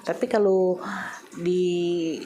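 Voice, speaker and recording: Slow, hesitant Indonesian woman with Javanese accent. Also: on the low side, fairly even intonation, dark tone, a little hiss or hum. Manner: hesitant, many filler "eh" pauses. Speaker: vlogger. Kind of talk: casual chat to viewers.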